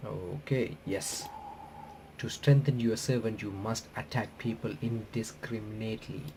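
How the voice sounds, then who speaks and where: A man doing a casual visual novel playthrough, reading the on-screen text aloud in a halting, stumbling way and reacting as he goes. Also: lowish voice, steady tone, dark tone, slight background noise. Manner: halting, stumbling reader who rereads phrases.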